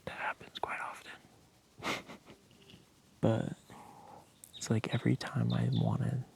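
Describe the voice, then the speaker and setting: A young man talking candidly to his camera in a loose, soft-voiced way, swearing often and laughing at himself. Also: mid-range voice, completely flat delivery, takes it slowly, gravelly, in a whisper. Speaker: vlogger. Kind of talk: casual reflective talk to camera.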